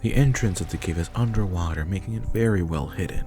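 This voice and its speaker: Male narrator, deep voice, scripted storytelling over a faint music bed. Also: fast, warm tone.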